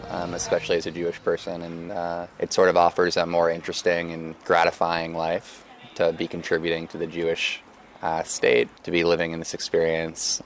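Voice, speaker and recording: A man in his twenties from the United States, giving thoughtful, articulate interview answers. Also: low voice. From United States, Connecticut. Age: 20s. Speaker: interviewee, new immigrant.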